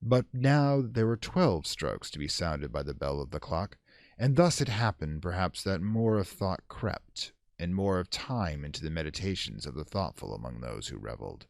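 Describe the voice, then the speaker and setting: A deep-voiced man gives a dramatic reading of gothic horror. His delivery is solemn and theatrical, swelling and falling widely in pitch with measured pauses. The sound is close and dry. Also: sing-song, creaky, no echo. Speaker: narrator.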